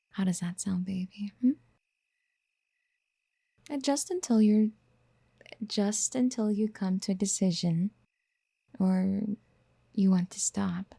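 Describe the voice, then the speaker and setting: Woman acting a dark, creaky, soft-spoken doting yandere mother. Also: mid-range voice, dry recording. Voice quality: soft, breathy, often near a whisper. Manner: tender, coaxing, hesitant pet-name murmuring. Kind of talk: soft-spoken ASMR roleplay. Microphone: close microphone in a dry, quiet space.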